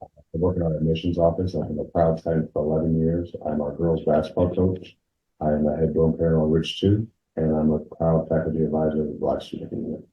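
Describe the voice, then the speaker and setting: A man's voice: very deep voice, flat delivery, fairly quick. A man who works in admissions and coaches, introducing himself steadily. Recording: muffled echoey room from far off.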